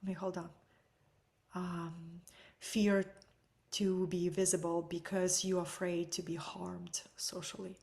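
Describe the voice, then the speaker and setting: A woman with a Russian accent, teaching an online webinar from home. She speaks softly and sometimes drops into a breathy whisper. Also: fairly low pitch, little pitch movement, slow pace. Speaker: energy healing teacher.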